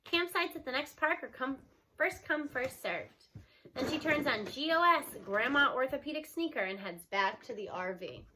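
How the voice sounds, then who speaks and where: A woman reading a children's novel aloud at home, acting out the characters' lines and slowing down for emphasis. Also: high-pitched, animated, noisy background. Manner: acts out dialogue with raised voice and slows for emphasis.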